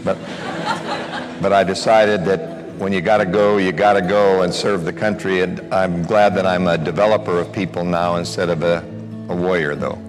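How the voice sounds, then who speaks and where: A man's voice: low voice, steady tone, normal speed. An older man answering an audience question, telling a story about his past and reflecting on it. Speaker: speaker. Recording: hall reverb with music.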